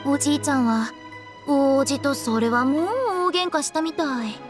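A woman's voice: high voice, normal speed, close to an everyday voice. An actress in a Japanese anime game playing a quirky, teasing young woman with a theatrical, sing-song way of telling things. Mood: breezy storytelling. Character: playful, quirky young woman running a funeral parlor. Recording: faint music behind the voice.

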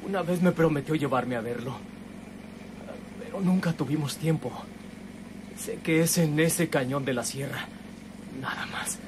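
An actor playing a battered young captive in a radio drama, pleading and halting, with a Mexican accent. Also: male voice, fairly high pitch, natural voice. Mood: pained, fearful. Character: frightened, battered young male captive guide. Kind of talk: radionovela acting. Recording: noisy old radio drama recording.

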